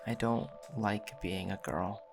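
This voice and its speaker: A man's voice: lowish voice, fairly even intonation, takes it slowly, creaky, soft background music. A man improvising dialogue and narration as a player in a tabletop role-playing game.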